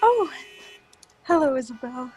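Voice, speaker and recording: A woman's voice: high voice, big pitch swings, unhurried, darkish tone, slightly rough, heavily acted. A woman playing a nervous, polite, evasive character who hides her dread in an old-time radio drama. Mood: evasive, uneasy. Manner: hesitant, uneasy, polite.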